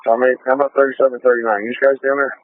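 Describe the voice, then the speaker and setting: A man's voice: mid-range voice, flat delivery, very fast, no room sound. Male officer on tinny police radio. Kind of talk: informal radio traffic. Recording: lo-fi noisy phone-like police scanner radio.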